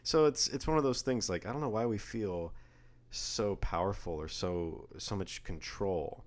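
A young man co-hosting a casual talk show, jokey and animated, getting louder when he gets worked up. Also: unhurried. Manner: animated, jokey, rising in pitch and volume when riled. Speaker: podcast co-host. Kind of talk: casual chat.

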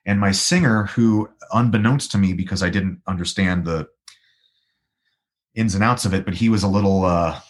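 A man with a naturally low baritone voice tells a winding story, stopping and restarting his sentences often. He is a guest musician in a casual interview. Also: normal speed. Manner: rambling, animated storyteller with many restarts.

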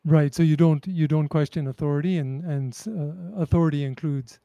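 A man's voice: on the high side, steady tone, normal speed, vocal fry, mellow, no echo, dull audio. A man interviewing someone conversationally, thoughtfully rephrasing his questions as he goes.

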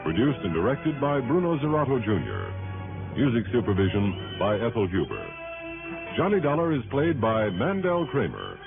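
A man's voice: medium pitch, huge pitch swings, moderate pace, creaky. A man working as a 1960s radio announcer, reading scripted credits in a formal, declamatory way. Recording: narrow-band old radio recording over music. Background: music.

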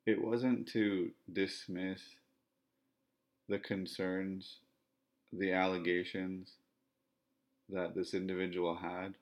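A man from Ontario speaking in a podcast monologue, with a self-described monotone, flat delivery and measured, repetitive phrasing. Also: sits low. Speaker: podcast host.

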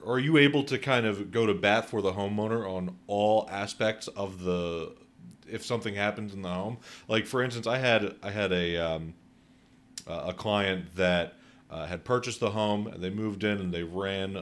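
A man hosting a casual interview podcast, a realtor who asks questions with upbeat affirmations. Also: fairly low pitch.

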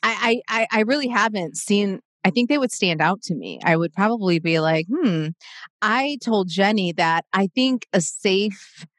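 A woman's voice: mid-range voice, animated, a little slow, a bit bright, dry recording, clean studio sound. A woman in her forties chatting casually as a podcast co-host, reflective and full of fillers and restarts. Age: 40s. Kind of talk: casual chat.

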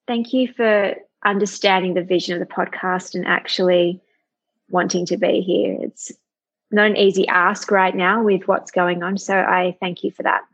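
A woman with a slightly husky, breathy voice hosts an interview podcast. She speaks in an earnest, affirming way, with the steady delivery of a prepared script. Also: mid-range voice, moderate pace, muffled recording. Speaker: podcast host.

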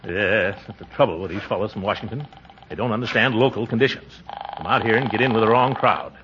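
An actor playing a pompous, excitable small-town water commissioner in 1940s radio comedy, blustering and chuckling through his lines. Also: on the low side, fast talker, background noise, natural voice. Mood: self-important, grumbling. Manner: blustering, chuckling, hesitant interjections. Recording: muffled, band-limited 1940s radio recording.

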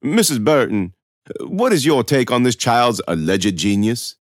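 A man voicing a domineering, irritable school official in an audiobook story, pompous and halting. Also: medium pitch, big pitch swings, no room sound, clean studio sound, pitched above the performer's own voice. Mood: indignant. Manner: pompous, barking, halting with uhs and grunts.

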